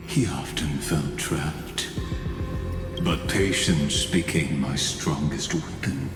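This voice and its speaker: Game villain: deathless cold genius, deep register with widely swinging intonation, condescending, heavy pauses, echoing reverb. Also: male voice, exaggerated voice. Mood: patient, menacing. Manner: slow, deliberate, condescending, theatrical pauses. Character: ancient cold calculating undead genius, patient male villain. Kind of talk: dramatic video game voice acting. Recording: echoey long reverb under loud music.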